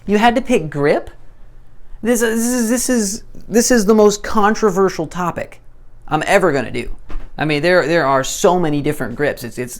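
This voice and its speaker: A hoarse man in his twenties gives a chatty, self-deprecating tutorial, often restarting his sentences. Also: fairly high pitch. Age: 20s. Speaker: disc golf instructor. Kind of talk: casual tutorial to camera.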